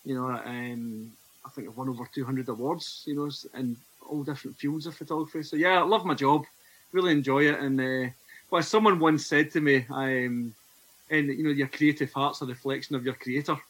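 A Scottish man in his forties speaks as a podcast guest, earnest and reflective as he tells his own story. His pitch sits in an ordinary middle range for a man. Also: steady tone, moderate pace, a bit bright, breathy edge, low-quality recording. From Scotland. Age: 40s. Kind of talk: personal testimony in conversation.

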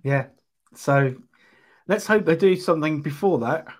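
A British man in his twenties, co-hosting a casual podcast chat, calm and measured. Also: a little high. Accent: British English. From United Kingdom. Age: 20s. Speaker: podcast co-host.

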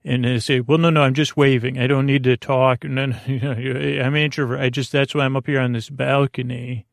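A man hosting a bedtime podcast, rambling in a halting, meandering way with false starts and tangents, in a gravelly voice. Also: medium pitch, level tone, no echo, clean studio sound. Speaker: podcast host.

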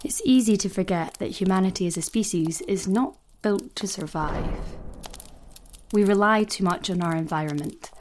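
A woman playing a reflective narrator in an audio drama, speaking a solemn, measured monologue that mourns human folly. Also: medium pitch, slight background noise, natural voice. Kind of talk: scripted audio fiction monologue. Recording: loud music under the voice. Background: loud music.

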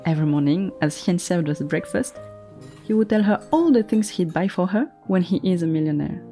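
A woman narrating a story in a gentle, measured, scripted way. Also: fairly low pitch, animated. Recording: faint music underneath.

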